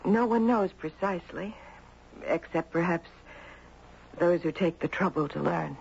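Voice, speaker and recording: Radio drama mother: gracious, informal, quietly enigmatic; muffled vintage sound with slight hiss. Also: fairly low pitch, a little slow, vocal fry. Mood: confiding. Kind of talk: 1970s radio drama acting. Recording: muffled, faintly noisy old radio recording.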